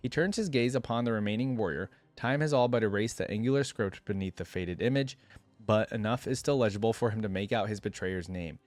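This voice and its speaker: A man's voice: fairly low pitch, fairly quick, darkish tone, no room sound. A man reading a story aloud in a solemn, dramatic way, with casual asides as a reaction host.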